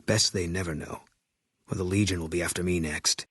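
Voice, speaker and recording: An actor voicing a grim, bitter former army sniper in a video game, guarded and grieving, with terse, flat, brooding delivery. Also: male voice, deep voice, fairly quick, breathy edge, dry recording, studio quality. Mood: guarded, weary.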